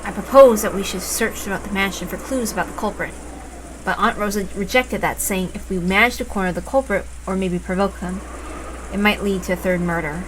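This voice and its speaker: Woman reading out a visual novel, expressive storytelling, normal pitch, kinda noisy background. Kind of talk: dramatic first-person story narration. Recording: noticeable background noise.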